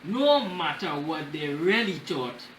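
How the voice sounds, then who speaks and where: A man's voice: fairly high pitch, sing-song, slow, fairly bright tone. A man with a Trinidadian accent hosts a children's storytime, reading expressively and explaining phrases in simple words. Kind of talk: animated picture-book reading. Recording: roomy echo with faint noise.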